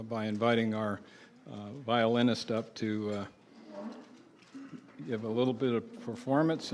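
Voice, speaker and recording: A man emceeing a dinner, introducing the next performer in a halting way full of filler pauses. His voice sits at an ordinary middle pitch. A faint hiss lies under the recording.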